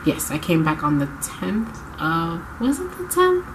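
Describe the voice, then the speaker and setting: Young woman, low voice, congested from a cold, chatty, rambling, vlog to camera, faint background noise. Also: big pitch swings, measured pace. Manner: chatty, rambling, self-correcting. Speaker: vlogger. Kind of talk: casual chat to camera. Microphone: camera mic.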